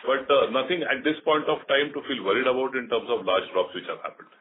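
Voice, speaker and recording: A man's voice: medium pitch, brisk. A man with an Indian accent, a company executive, giving an unscripted explanation on an earnings call. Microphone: telephone conference call. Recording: noisy phone line with clicks.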